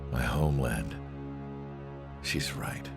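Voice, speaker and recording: An actor playing a weary, guilt-ridden scientist in somber, measured video game cutscene narration, with a gravelly voice. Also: male voice, extremely low pitch, over loud music, exaggerated voice. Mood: somber, regretful.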